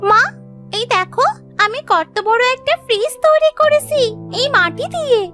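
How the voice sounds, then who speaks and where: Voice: extremely high pitch, lively intonation, normal speed. A performer voicing an eager, determined little village girl in a children's cartoon, with music behind.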